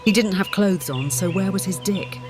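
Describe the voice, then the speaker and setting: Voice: medium pitch, normal speed, over music. An audiobook narrator reading a scripted story in the third person.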